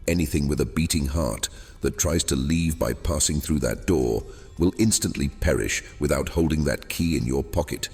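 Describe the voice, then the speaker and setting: Ancient sly djinn, super deep voice, silky n theatrical, big cave reverb, fantasy audio drama. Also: male voice, vocal fry, a little mellow, husky, full character voice. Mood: coaxing. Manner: theatrical, silky, hesitant pauses. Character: old, fading, sly captive male djinn, courteous and wheedling. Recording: long cavernous reverb, music underneath. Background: music.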